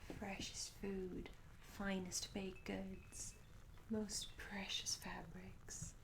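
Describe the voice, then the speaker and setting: A woman's voice: medium pitch, little pitch movement, extremely slow, low-quality recording. Woman playing a whispery, curious medieval tavern maid. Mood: wistful. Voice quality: soft, breathy, often whispered. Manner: gentle, polite, old-fashioned storybook phrasing. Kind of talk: soft-spoken ASMR roleplay. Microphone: close ASMR microphone.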